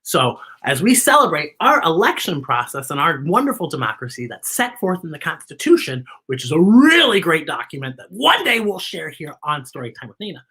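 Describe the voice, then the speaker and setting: An American woman hosting a children's story time, reading aloud and chatting in an expressive, encouraging way straight to young viewers. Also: low-pitched, brisk, hardly any pauses, breathy edge. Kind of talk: live read-aloud with chatty commentary.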